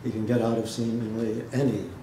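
A man giving a dramatic literary reading and talk to an audience, speaking in a measured way with pauses. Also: fairly low pitch, little pitch movement. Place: indoor hall, seated before an audience. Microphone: microphone on a stand, recorded by a distant camera. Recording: echoey room, noisy background.

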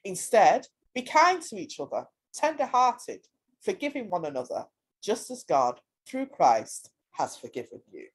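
A woman's voice: mid-range voice, sing-song, a little slow, husky, rough recording. A woman reading scripture aloud, giving expression to each phrase. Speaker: scripture reader.

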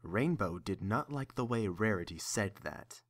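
A man's voice: lowish voice, expressive, measured pace. A man reading scripted third-person story narration as the narrator of an audio drama.

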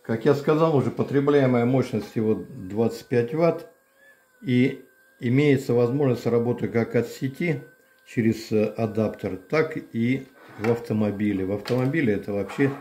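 An older man casually demonstrating a massager at home, explaining plainly with pauses and fillers. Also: mid-range voice, dark tone. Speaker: channel host reviewing a massager. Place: home room, indoors. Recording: echoey room.

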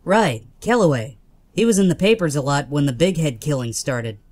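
A man playing a calm, friendly hospital worker in a comic book dub. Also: a little high, normal speed, dry recording, lightly acted.

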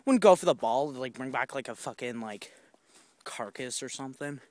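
A man's voice: medium pitch, expressive, normal speed, darkish tone, no room sound. A young man walking a wooded trail, telling a rambling, profane story into a handheld phone full of fillers and restarts. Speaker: vlogger. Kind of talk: rambling walk-and-talk storytelling. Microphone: handheld phone camera while walking.

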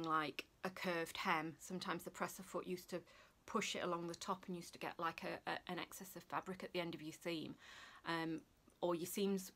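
Female vlogger, Yorkshire accent, slight lisp, a little low in pitch, informal talk to camera in a furnished room. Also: fairly bright tone. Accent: Yorkshire English. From Sheffield, northern England. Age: 40s. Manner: chatty, informal. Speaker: sewing vlogger. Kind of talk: casual chat to camera. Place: small furnished room indoors.

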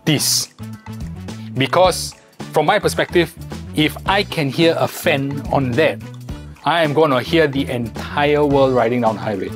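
A man's voice: fairly high pitch, a little slow. Singaporean man with music behind him. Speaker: co-host and product tester. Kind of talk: casual product review chat.

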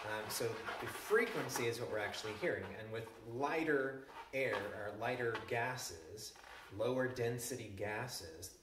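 A man in his thirties, a chemistry teacher, giving a relaxed classroom demonstration with frequent ums. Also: lowish voice, a little hiss or hum. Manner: relaxed explaining with frequent ums, handling balloons. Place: large living room with a stone wall, indoors. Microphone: camera at a distance. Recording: echoey room, voice from far off.

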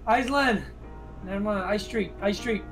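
Guy gamer with a high voice, barking callouts over and over, loud music behind him. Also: low-quality recording. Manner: repeats short commands rapidly. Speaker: video game streamer playing on a team. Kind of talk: casual gaming chatter and callouts.

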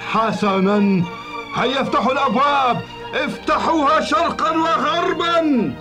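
High-pitched foolish cartoon governor. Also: male voice, normal speed. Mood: pleading. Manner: excitable, imperious. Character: foolish, portly, power-hungry cartoon governor. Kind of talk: cartoon dub acting. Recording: music behind the voice.

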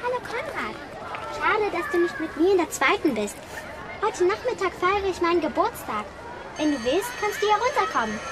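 A bold little schoolgirl in a radio play, bossy and extremely high-pitched. The voice bounces around over a loud crowd. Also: big pitch swings, normal speed. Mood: friendly. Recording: loud crowd and music behind.

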